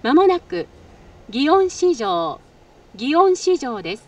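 A woman's voice: high voice, sing-song, slow pace. A woman giving a polite, formal scripted train announcement, heard through the speakers inside a train car. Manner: polite, formal, practised delivery. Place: train car, over public-address speakers. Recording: recorded off an in-car speaker, slightly distant.

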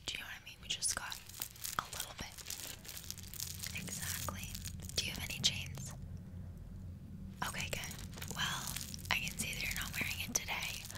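A young woman whispering an ASMR roleplay close to the microphone in casual, slangy, playfully boastful speech. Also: pushed and bright. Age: young adult. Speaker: ASMR creator. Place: small bedroom indoors. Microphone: desk microphone close to the mouth.

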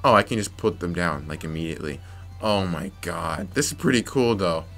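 Young male let's-player, raspy from yelling, bright voice, faint game music underneath. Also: deep voice, lively intonation, moderate pace. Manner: frustrated, muttering to himself, sudden yelps. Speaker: gameplay commentator. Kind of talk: let's play commentary.

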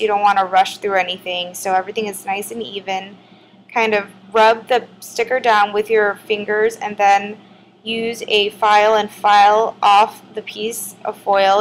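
A woman's voice: on the high side, a little hiss or hum, rough recording. A woman in her twenties with a sore throat, chatting casually through a nail tutorial filmed in her bedroom. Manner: chatty, relaxed, filler-heavy. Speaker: beauty vlogger.

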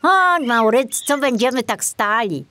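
A woman's voice: on the high side, lively intonation, a little slow, sharp tone, no room sound, pitched above the performer's own voice. A woman voicing a cheeky small male rat detective in a storybook, brash and offhand. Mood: proud, scornful.

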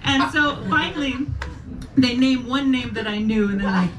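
Chatty middle-aged woman telling a story. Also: a little high. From Springfield, Illinois. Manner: chatty, halting with restarts. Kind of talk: personal storytelling set. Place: small comedy club stage. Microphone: handheld mic, far camera. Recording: distant camera sound with room noise.

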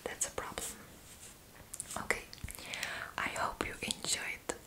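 Female, twenties, ASMR roleplay: fully whispered, breathy, gentle, close-miked. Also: slow pace, low-quality recording. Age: 20s. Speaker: ASMR video creator playing a roommate. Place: small indoor room. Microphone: close microphone.

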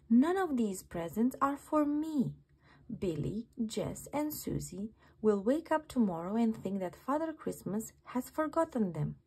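Small kind-hearted slug hero for a picture book played by a woman: bright-eyed eagerness, high pitch, bouncy earnest delivery. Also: breathy edge, exaggerated voice. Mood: curious, dismayed. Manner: excitable, earnest, exclamatory.

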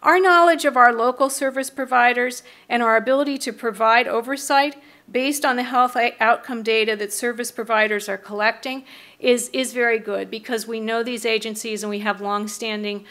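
Bright-voiced middle-aged American woman presenting. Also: on the high side, fairly even intonation, normal speed. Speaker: mental health board member presenting to city council. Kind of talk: prepared public presentation. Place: large council meeting room, indoors. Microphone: podium microphone.

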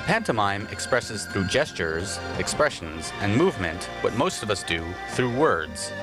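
A man reading a news report voice-over in a crisp broadcast delivery. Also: fairly low pitch, very animated, measured pace, poor audio. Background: music.

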